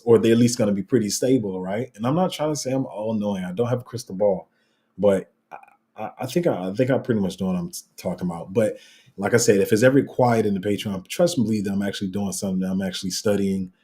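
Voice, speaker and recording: A man with a low, dark, rumbling voice talks loosely to viewers on a livestream. He rambles and restarts, and his voice leaps up high whenever he gets excited. A slight cough lingers in his throat. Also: fast talker. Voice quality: deep rumbling voice. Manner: rambling and animated, voice jumping up when excited. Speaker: crypto livestream host. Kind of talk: casual livestream chat reading viewer comments.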